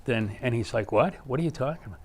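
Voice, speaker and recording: A man's voice: medium pitch, animated, quick pace, breathy edge. A middle-aged man telling anecdotes casually as a sports guest, rambling and repeating phrases as he goes.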